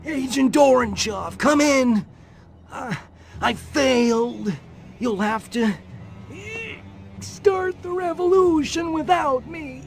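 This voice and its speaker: An earnest but hapless hippie revolutionary in a game cutscene. He speaks high and slow, with a whiny, faltering, melodramatic delivery. Faint music plays behind him. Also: animated, creaky, exaggerated voice. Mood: sheepish. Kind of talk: video game cutscene acting.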